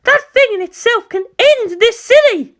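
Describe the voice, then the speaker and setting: A fiery female commander, acted out by a woman narrator, pitched very high and swinging widely as she shouts her indignation. She is alarmed, emphatic and quick to anger, in a dramatic audiobook style. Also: lively intonation, full character voice. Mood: outraged. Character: hot-tempered, alarmed female military commander. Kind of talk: dramatic audiobook character acting.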